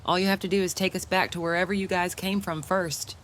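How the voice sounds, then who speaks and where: A woman's voice: lowish voice, steady tone, quick pace, a bit bright, close to an everyday voice. A woman playing a desperate, guarded young mother surviving the apocalypse in an audio comic. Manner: pleading, guarded.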